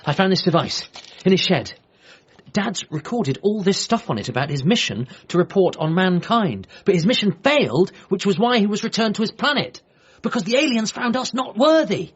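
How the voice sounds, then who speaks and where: Anxious comic lead for radio drama: highish, very animated, hesitant, stumbling over his words. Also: normal speed, breathy edge, lo-fi sound. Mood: frantic. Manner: stammering, hesitant, flustered. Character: anxious, awkward bereaved son, excitable and sarcastic. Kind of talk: radio comedy drama acting.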